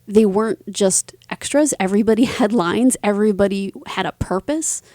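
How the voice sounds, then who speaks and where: A woman's voice: medium pitch, normal speed, warm tone, no room sound, studio quality. A woman speaking casually as an interview guest, with informal phrasing and emphatic words. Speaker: interview guest, writer and performer.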